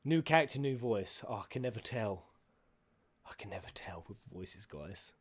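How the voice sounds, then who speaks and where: Excitable man reacting to a game. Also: on the low side, moderate pace, breathy edge. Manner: excitable, swearing, shouting at the screen, dropping to whispers. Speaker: reaction video host. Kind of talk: live reaction commentary. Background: game cutscene audio and music.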